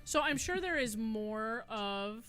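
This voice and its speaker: A woman's voice: a little high, animated, slow, a bit bright, faint music behind. A middle-aged American woman with a big voice, chatting and telling personal stories in a frank, unbothered way. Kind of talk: casual conversational storytelling.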